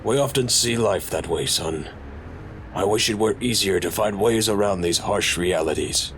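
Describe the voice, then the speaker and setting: A man's voice: fairly low pitch, moderate pace, fairly bright tone, a little hiss or hum, natural voice. An actor playing a solemn, kind, fatherly senior officer in an audio drama. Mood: consoling.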